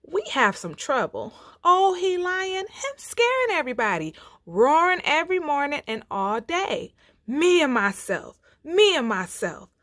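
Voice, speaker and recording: A woman's voice: sits high, very animated, unhurried, a bit bright, no room sound, clean studio sound, voice pushed up, full character voice. A woman playing frightened little forest animals in a storybook, fretful, pleading and folksy. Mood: fretful complaint.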